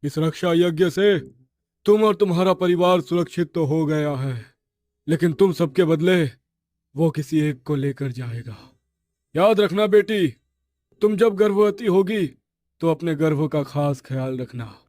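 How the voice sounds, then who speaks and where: A man playing a solemn, protective tantric holy man in an animated horror story, speaking in a grave, formal, instructing manner. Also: a little high, measured pace, no room sound, natural voice. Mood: solemn warning.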